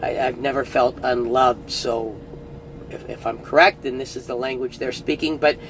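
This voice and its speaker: A man's voice: mid-range voice, fairly even intonation, normal speed, fairly bright tone, slight background noise, poor audio. A middle-aged man giving a casual, earnest spoken review, chatty and often restarting phrases. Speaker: audiobook reviewer.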